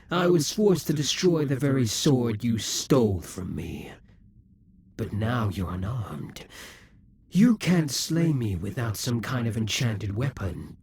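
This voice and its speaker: Guy doing a vengeful demon king for audio roleplay, super husky, takes his time, taunting and grandiose. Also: mid-range voice, exaggerated voice. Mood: pained, defiant. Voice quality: husky, breathy, often dropping into whispers. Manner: grandiose, menacing, deliberate dramatic delivery. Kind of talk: scripted audio roleplay monologue.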